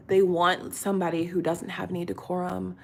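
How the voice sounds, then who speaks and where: A woman's voice: lowish voice, flat delivery, a little fast, vocal fry, slight background noise. A middle-aged woman chatting casually and confidingly in a get-ready-with-me vlog, full of fillers and restarts. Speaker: vlogger.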